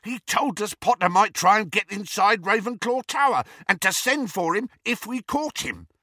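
Brutish male villain wizard, bellowing, hot-tempered, cowardly, uneducated speech, high-pitched, wildly swinging, storybook. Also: animated, moderate pace, bright, forceful tone, no echo, clean studio sound, exaggerated voice. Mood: defensive. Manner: bellowing, uneducated non-standard grammar.

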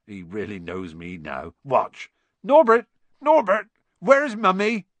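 A man voicing a soft-hearted, doting big man besotted with his pet, in a storybook reading. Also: high-pitched, slow pace, no room sound, voice pushed up, exaggerated voice. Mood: excited, doting.

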